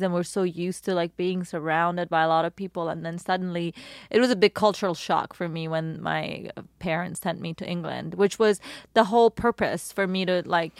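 A woman in her thirties from Iran, a guest telling her story in a casual interview, expressive and full of "like". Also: fairly low pitch, clear, resonant tone, no room sound, clean studio sound. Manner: expressive, fillers like "like".

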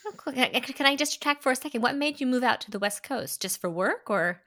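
A woman's voice: high voice, expressive, a little fast, sharp tone. A woman from New York co-hosting a podcast, interviewing a guest in an upbeat, enthusiastic way. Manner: enthusiastic and upbeat, saying brilliant often. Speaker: podcast co-host. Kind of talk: conversational interviewing.

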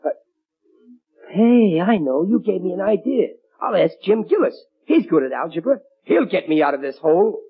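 A man's voice: high voice, moderate pace, slightly rough, soft background music, heavily acted. An actor playing a blustering, proud but hapless working-class father in a 1940s radio comedy. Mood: stubborn. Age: middle-aged. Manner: excitable, stammering. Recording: dull vintage 1940s radio recording.

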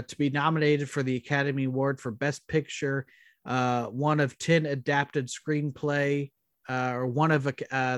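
Man, podcast co-host, medium-pitched voice, halting trivia reading, casual chat, dry close recording. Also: no room sound.

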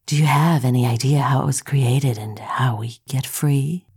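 An actress playing a cool, intelligent heroine with a husky voice in an audio drama. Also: female voice, very deep voice, moderate pace, a little mellow, full character voice. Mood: cool, measured.

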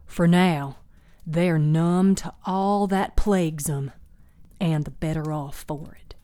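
A woman's voice: deep voice, takes it slowly, warm tone, no echo, studio quality. A woman voicing a sardonic older female healer in a storybook, plainspoken and folksy. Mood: weary, matter-of-fact.

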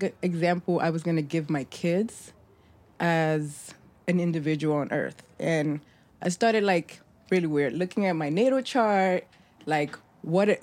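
Low-voiced woman, relaxed and reflective. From New York, raised in the Bronx. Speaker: guest, mutual aid organizer. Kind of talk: casual interview conversation.

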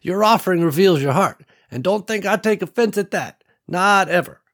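A man voicing a wise elderly woman farmer who speaks gently and folksily, calling others honey and sweetie. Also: high voice, expressive, normal speed, voice pushed up. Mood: gentle. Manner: gentle folksy drawl with endearments.

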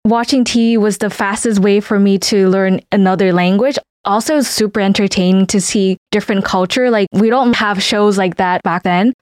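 A woman's voice: medium pitch, moderate pace, hardly any pauses, darkish tone, no echo, clean studio sound. Earnest young woman co-hosting a podcast. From Vietnam. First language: Vietnamese. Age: 20s. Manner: earnest, reflective, flowing. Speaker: podcast co-host. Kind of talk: casual chat.

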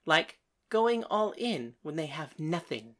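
A confident young woman card player, as a female narrator puts her on, speaks in a very low voice with lively swings of pitch. She is cool and bossy, teasing the people around her as she tells them what to do. It is storybook dialogue. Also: slow pace, full character voice. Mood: smug, instructing.

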